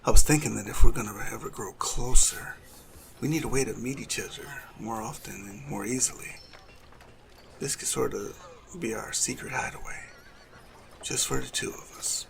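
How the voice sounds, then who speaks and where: A man playing a suave, cunning assassin in love, speaking softly and formally in a romantic audio roleplay with a gravelly creak. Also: medium pitch, normal speed, noisy background, close to an everyday voice. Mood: tender, inviting. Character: lovestruck male assassin, suave, cunning, tenderly courting a noble. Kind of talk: romantic audio roleplay acting addressed to the listener.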